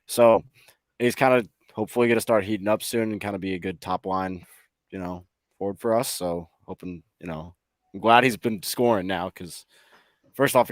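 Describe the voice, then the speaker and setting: Deep-voiced man rambling in dry sound. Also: no echo. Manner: rambling, hesitant, thinking aloud. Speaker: sports podcast co-host. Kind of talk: casual hockey fan chat.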